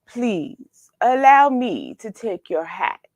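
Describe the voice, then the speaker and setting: A woman's voice: a little high, sing-song, takes it slowly, darkish tone, no room sound, studio quality, exaggerated voice. A woman voicing a courteous, fussy fish waiter in a storybook, with a formal and obliging manner.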